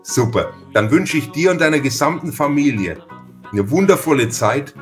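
A man's voice: medium pitch, animated, normal speed, soft background music. A man chatting as a podcast host in an effusive, long-winded, personal way. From Franconia, Germany. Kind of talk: conversational interview chat.

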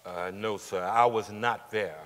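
An actor playing a steadfast working man of forty-nine on the witness stand, deliberate, hesitant and formally polite, in a dramatic stage reading. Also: lowish voice, fairly even intonation, a bit bright. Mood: plain, polite. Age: middle-aged. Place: large indoor theatre stage. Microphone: lapel mic. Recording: echoey theatre stage, long reverb.

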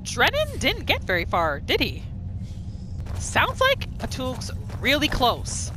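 A woman giving casual, excitable role-play commentary as a gaming video host, often repeating her phrases. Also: high voice, slow. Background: game music and sound effects.

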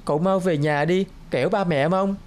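A woman's voice: on the low side, normal speed, darkish tone, lightly acted. A woman voicing a kind, playful, lonely young boy in a children's cartoon, with a boyish, eager delivery. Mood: regretful. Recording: music behind the voice.

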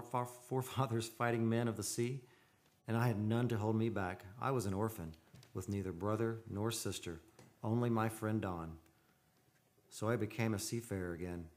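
An actor playing a haunted, lovelorn seafarer in a radio play, with theatrical, poetic delivery. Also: male voice, lowish voice, close to an everyday voice. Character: Gunnar, lovelorn haunted seafaring man, brooding and desperate. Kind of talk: old-time radio drama acting. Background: music behind the voice.

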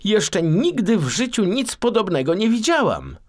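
A man's voice: high voice, huge pitch swings, normal speed, a little hiss or hum, pitched above the performer's own voice. A man voicing a bored, capricious young princess in a fairy tale. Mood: delighted.

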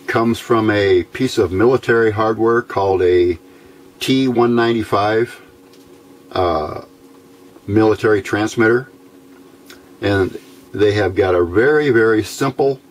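A man giving an informal, unscripted hands-on tutorial in a plain-spoken, halting way, recorded indoors at a workbench on a camera mic. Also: on the low side, a little hiss or hum. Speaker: host of a hands-on electronics tutorial.

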